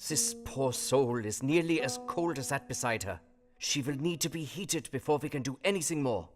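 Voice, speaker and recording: An actor playing a stern older professor in an audio drama, grave and formal, with urgent emphasis. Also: male voice, mid-range voice, normal speed, soft background music, lightly acted. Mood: urgent, commanding. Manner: formal, emphatic, old-fashioned phrasing.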